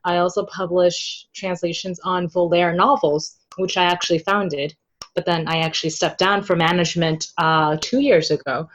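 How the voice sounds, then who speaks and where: A woman's voice: fairly low pitch, steady tone, normal speed, highs cut off. A woman chatting casually as an interview guest, playful and jokey as she explains things. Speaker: podcast guest, translator. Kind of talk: casual, animated interview chat.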